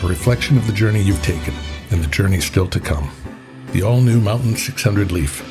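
A man giving slow, reflective scripted narration for a boot commercial. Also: fairly low pitch, music in the background.

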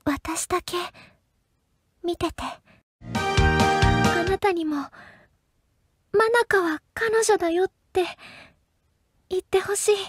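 Sweet, coy high-voiced schoolgirl. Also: slow pace, pauses often. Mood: shy, tender. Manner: soft, coy, hesitant. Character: sweet, shy teenage girlfriend, affectionate. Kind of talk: dating-game character voice clips. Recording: loud game music underneath.

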